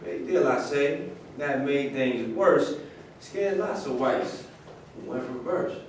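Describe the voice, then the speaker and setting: A man's voice: medium pitch, expressive, slow. A man acting in a staged reading, speaking lines from a play script. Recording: echoey room, distant mic, faint noise.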